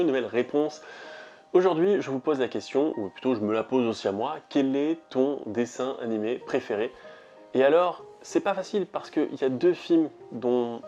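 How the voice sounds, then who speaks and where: A man's voice: mid-range voice, mellow, highs cut off. A young man with a French accent talking casually to viewers as a YouTube host, rambling with frequent hesitations. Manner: chatty, rambling with frequent "euh". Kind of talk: casual vlog talk to viewers.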